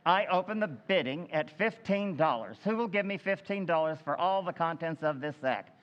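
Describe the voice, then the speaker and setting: A town chairman in a radio play, voiced by a man with a somewhat high voice, declaiming and then rattling off bids. The sound is dull and echoey, with a long hall reverb. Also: lively intonation, natural voice. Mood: brisk auctioneering. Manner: declamatory, brisk auctioneer patter. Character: pompous small-town meeting chairman and auctioneer. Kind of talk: old-time radio drama recreation.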